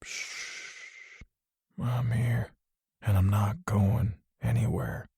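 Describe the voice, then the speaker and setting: Male reader doing a dying dad: low, hushed, very slow, gentle. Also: steady tone, creaky, slightly rough, no room sound, studio quality, full character voice. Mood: tender. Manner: soft, weak, slow speech. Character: dying father, gentle and loving, middle-aged man. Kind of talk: audiobook character voice.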